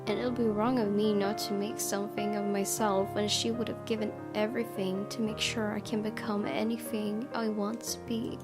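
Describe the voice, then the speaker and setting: A woman reading a visual novel aloud as a let's play host, in measured, earnest dramatic narration. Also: mid-range voice, little pitch movement, over loud music.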